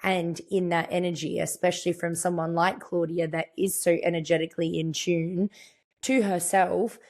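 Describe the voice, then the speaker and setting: A young woman with a croaky, partly lost voice, chatting reflectively as a podcast host and voicing her own thoughts as she tells a story. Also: lowish voice, flat delivery, normal speed, a little mellow. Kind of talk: casual storytelling monologue.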